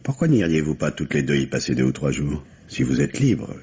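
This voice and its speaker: An actor playing a grave, bitter widower in a French mystery radio play, with measured, dramatic delivery. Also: male voice, rumbling low, sing-song, a little mellow, breathy edge, full character voice. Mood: courteous, preoccupied. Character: grieving, bitter New York widower hunting his wife's killer. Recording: dull, hissy vintage radio recording.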